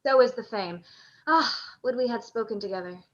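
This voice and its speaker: A woman's voice: a little high, normal speed, lo-fi sound, natural voice. A woman playing a proud, courteous soldier and statesman, speaking formal declamatory verse in a radio play. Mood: solemn, resolved. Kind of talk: live radio play acting in Shakespearean verse.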